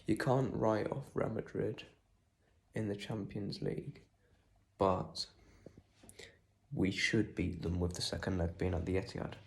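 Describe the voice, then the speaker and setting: A young man with a cold and sore throat, talking quietly and casually about a football match, with lots of ums. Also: fairly low pitch, unhurried, vocal fry, pauses often. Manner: speaks quietly, holding back volume; rambling with many ums. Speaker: football fan vlogger. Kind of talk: casual post-match reaction.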